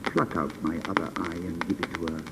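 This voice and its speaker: A man voicing a sorrowful, gentle but commanding prince, speaking slowly and softly in a storybook reading. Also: deep voice, little pitch movement, pitched below the performer's own voice. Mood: sad, solemn. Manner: slow, hushed, solemn pleading. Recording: noisy recording with clicks.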